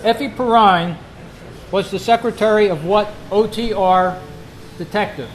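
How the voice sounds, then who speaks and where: A man hosting a club quiz, reading trivia questions out to a group in a deliberate way and repeating them. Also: high voice, slight background noise, low-quality recording. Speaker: quiz host at a club meeting.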